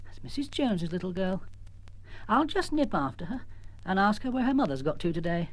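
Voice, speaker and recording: A man's voice: high voice, very animated, a little fast, low-quality recording. A man voicing a nosy supermarket manager muttering colloquially to himself in a children's story. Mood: curious.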